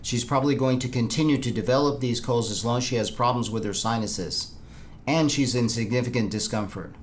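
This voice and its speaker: A man's voice: medium pitch, a little fast, natural voice. Man playing male surgeon, pushy, frustrated, conversational, training role-play, slight background noise. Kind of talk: role-played training dialogue.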